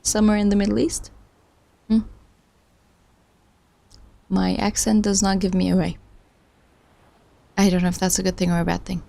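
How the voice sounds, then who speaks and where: A woman's voice: medium pitch, level tone, normal speed, vocal fry, pauses often, mellow, no room sound. A woman from Iraq hosting a study livestream, thinking aloud and chatting with viewers in a musing, self-deprecating way. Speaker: livestream host studying along. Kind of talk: casual thinking aloud while following a lecture.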